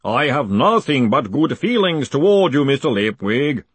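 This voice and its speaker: A man voicing a huge, cheerful golem in a booming, formal, politely relentless manner for a storybook. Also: sing-song, moderate pace, slightly rough, no echo.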